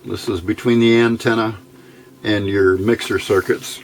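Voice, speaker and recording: A middle-aged or older man casually explaining technical details as a hobbyist, halting and thinking aloud, recorded indoors at a worktable. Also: fairly low pitch, steady tone. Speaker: hobbyist radio builder giving a tutorial. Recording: noisy amateur home recording.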